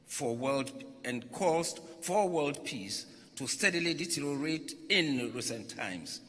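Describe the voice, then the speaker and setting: A religious leader, a man, delivers a formal written address. His voice sits a little high and is strikingly bright and forceful. He phrases deliberately, pausing between short groups of words, and the sound rings through a reverberant hall. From Ghana. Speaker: religious leader reading a message at a conference. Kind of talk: formal speech read aloud. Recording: echoey hall with long reverb.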